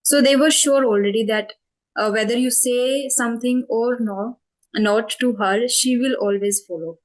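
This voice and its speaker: A woman's voice: fairly high pitch, fairly even intonation, normal speed, low-quality recording. Indian woman answering at an easy pace. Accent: Indian English. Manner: thoughtful, many fillers and self-corrections. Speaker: spoken English channel host. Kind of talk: conversational interview answers.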